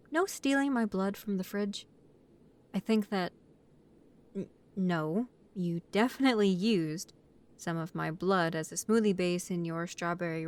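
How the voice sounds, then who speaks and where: Woman acting a bossy, exasperated young vampire housemate. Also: lowish voice. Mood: matter-of-fact, bossy. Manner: organized, matter-of-fact, addressing housemates like a meeting chair. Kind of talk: scripted roleplay audio drama.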